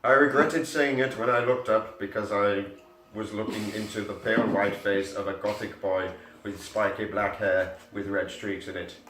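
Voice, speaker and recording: A man's voice: fairly low pitch, fairly even intonation, normal speed, background noise. A man giving a mock-serious dramatic reading of amateur fiction, prone to giggling. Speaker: reader. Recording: echoey room, mic at a distance.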